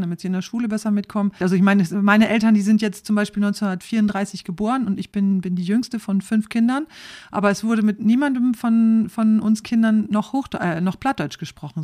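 Host: woman in her forties, mid-pitched, engaged, hesitant restarts. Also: warm tone. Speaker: interviewer. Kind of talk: conversational interview.

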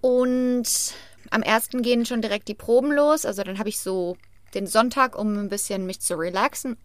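Higher-voiced woman in casual podcast chat. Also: moderate pace, no echo. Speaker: podcast host.

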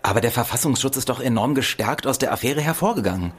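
An actor playing an inquisitive, sceptical journalist in a political radio play, with a probing, wry manner. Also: male voice, mid-range voice, expressive, quick pace, close to an everyday voice.

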